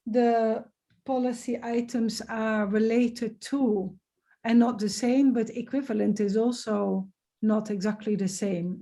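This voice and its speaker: A woman's voice: on the high side, warm tone. A woman chairing a webinar discussion on a video call, hesitant with many fillers but joking lightly. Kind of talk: casual meeting discussion.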